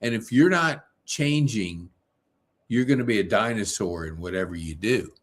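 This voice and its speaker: A man hosting a casual interview, rephrasing his questions in a halting, emphatic way. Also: on the low side, animated. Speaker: interview host.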